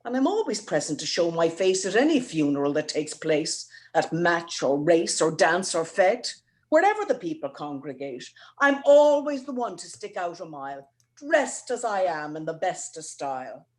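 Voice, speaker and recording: A woman's voice: lowish voice, sing-song, moderate pace, a little mellow. Casting: woman to play a young maid pleading her case, saucy and aggrieved, theatrical verse delivery. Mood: boastful, saucy. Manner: declamatory, theatrical, rhythmic delivery. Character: lovelorn, indignant, bawdy young Irish maiden pleading in court. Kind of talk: dramatic recital of comic rhyming verse.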